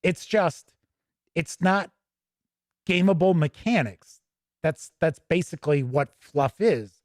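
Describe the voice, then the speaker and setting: A man's voice: fairly high pitch, expressive, takes it slowly, no room sound, studio quality. A man hosting a tabletop gaming show, chatting casually with asides as he reads aloud and comments on a livestream.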